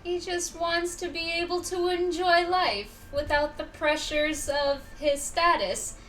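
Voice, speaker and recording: High-voiced woman streamer, unhurried. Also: steady tone, a bit bright. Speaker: game streamer. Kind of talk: casual livestream chat while gaming. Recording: distant-sounding mic, faint background noise.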